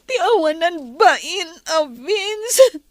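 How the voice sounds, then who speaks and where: A woman's voice: very high voice, big pitch swings, very slow, no echo, clean studio sound, heavily acted. An actress playing a distressed, indignant lady in a melodrama, pleading and exclaiming emotionally. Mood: shaken. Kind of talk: melodramatic drama acting.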